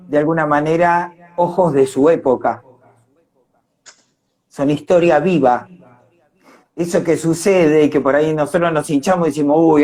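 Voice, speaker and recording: An Argentine man with a slightly high voice speaks in a reflective live chat. He is earnest and discursive, developing his thoughts as he goes. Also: a little mellow. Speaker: independent arts worker. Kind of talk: reflective live online conversation.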